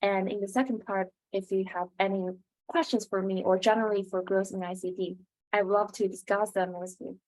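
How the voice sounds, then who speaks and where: A woman's voice: medium pitch, fairly even intonation, brisk, a little mellow, rough recording. A young woman speaking as the host of an interview, with a prepared, scripted way of introducing and asking questions. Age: young adult.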